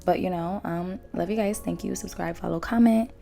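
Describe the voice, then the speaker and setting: A hoarse young woman with a deep, gravelly voice talks her viewers through a hair tutorial in a chatty, blunt, slangy way. Her tone is dark and mellow, and soft music plays faintly behind her. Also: fairly even intonation, normal speed. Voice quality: naturally low voice. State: hoarse, lost voice after drinking. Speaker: beauty vlogger. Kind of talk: casual hair tutorial talk. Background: faint background music.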